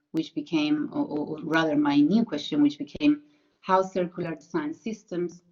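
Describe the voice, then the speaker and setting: A woman's voice: deep voice, dark tone. Colombian woman presenting research, lo-fi audio. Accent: Colombian Spanish. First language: Spanish. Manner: earnest, careful, with many fillers and self-corrections. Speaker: PhD researcher. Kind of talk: academic presentation.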